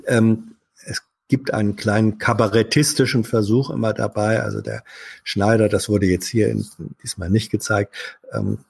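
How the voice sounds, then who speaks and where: Male guest, low husky gravelly voice, halting conversational delivery. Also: lively intonation, no room sound. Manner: hesitant, many fillers and restarts. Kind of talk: casual chat.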